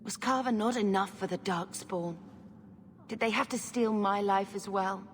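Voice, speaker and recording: Here is an actress as a devout young warden in a video game. She is a resolute woman, earnest and reflective, with a medium-pitched voice. Long reverb surrounds it, with faint ambient noise. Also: normal speed, lightly acted. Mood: earnest, wistful. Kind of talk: dramatic video game cutscene acting.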